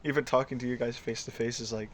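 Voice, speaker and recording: A young man in casual chat with a monotone voice, telling a story in a flat, low-key way. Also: fast talker. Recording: echoey, faint noise, through a phone.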